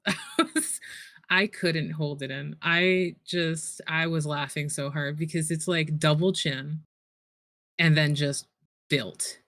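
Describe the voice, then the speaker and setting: A woman's voice: low voice, a bit bright, no echo. A woman chatting casually as a guest on a stream, with a giggly, teasing manner. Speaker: podcast guest, role-play developer. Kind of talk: casual, unscripted chat.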